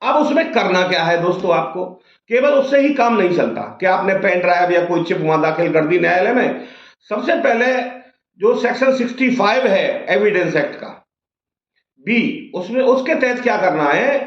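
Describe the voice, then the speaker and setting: A man giving an informal legal explainer to viewers in a didactic way, posing questions and answering them himself. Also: sits high. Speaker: advocate explaining law to viewers. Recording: dull, roomy, echoing sound.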